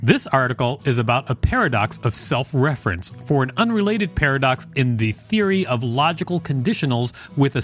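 A man hosting a podcast, reading an encyclopedia article aloud with joking, informal commentary. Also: medium pitch. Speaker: podcast host.

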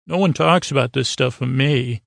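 A man with a creaky voice hosting a bedtime podcast in a soothing, meandering, hesitant monologue full of stammers and tangents. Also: mid-range voice, normal speed, dry recording, studio quality. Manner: soothing, lulling delivery. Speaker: podcast host.

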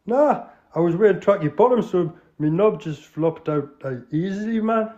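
A man's voice: high-pitched, lively intonation, normal speed, mellow, voice pushed up. A man with a Geordie accent voicing a dim young male petty crook who rambles and boasts, in a dull lo-fi recording. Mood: boastful. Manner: rambling, full of "like".

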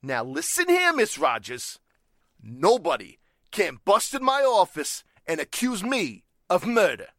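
Man playing a slick racketeer, swinging pitch. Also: wildly swooping pitch, unhurried, fairly bright tone, dry recording, clean studio sound, exaggerated voice. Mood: indignant, defensive. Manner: slangy tough-guy talk. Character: shady male racketeer, slick, tough, easily riled. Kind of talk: 1940s radio crime drama acting.

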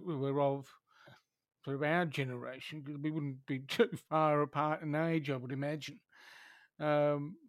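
A man in casual conversation, thoughtful and halting, often restarting his sentences. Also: on the high side, no echo.

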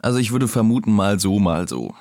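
A man's voice: fairly low pitch, sing-song, brisk, a little mellow, dry recording, clean studio sound. An actor playing a self-assured, ambitious male journalist who sounds glib and condescending in an interview. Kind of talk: acted interview in a scripted audio drama.

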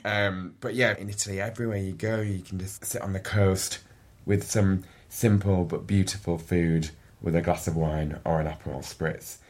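A man's voice: low voice, steady tone, moderate pace, a little mellow. A middle-aged man with a Yorkshire accent, chatting as a podcast guest and often repeating words as he starts a sentence. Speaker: guest, events agency director. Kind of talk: casual interview chat.

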